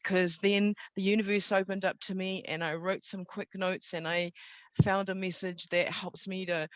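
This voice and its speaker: A middle-aged woman with a New Zealand accent, sharing personal motivational stories in an earnest, reflective and deliberate way on an online call. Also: medium pitch, a bit bright, no room sound. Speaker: guest storyteller. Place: online video gathering. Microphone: video call.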